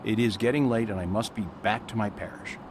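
An actor playing a calm, playful priest detective in an amateur radio drama, patient and mild. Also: male voice, fairly low pitch, fairly quick, background noise, natural voice. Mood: whimsical. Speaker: Father Brown.

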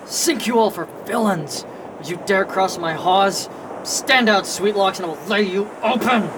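An actor playing a lecherous, ruthless pirate captain in a radio drama, blustering in theatrical old seafaring talk. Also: male voice, high-pitched, a little slow, background noise. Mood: furious, defiant.

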